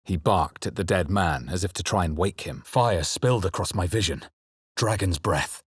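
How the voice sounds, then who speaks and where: An actor playing a grave, brooding male fantasy game hero, speaking in a measured, serious and intense way. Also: low voice, breathy edge, dry recording, studio quality. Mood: grave, ominous. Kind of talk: dramatic scripted fantasy game narration and character lines.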